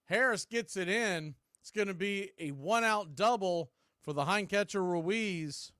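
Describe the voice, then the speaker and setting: High-pitched man calling baseball play-by-play. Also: measured pace, a bit bright, clear, resonant tone, no room sound, clean studio sound. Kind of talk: live play-by-play commentary.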